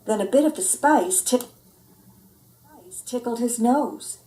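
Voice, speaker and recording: A woman narrating a children's story in an expressive read-aloud style. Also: on the high side, takes it slowly, recorded from a distance, a little hiss or hum. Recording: roomy indoor echo.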